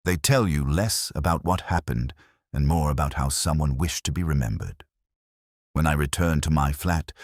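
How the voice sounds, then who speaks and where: A man narrating a literary first-person detective drama as a melancholy, world-weary investigator, in a measured, brooding, solemn way. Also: extremely low pitch, dry recording, clean studio sound.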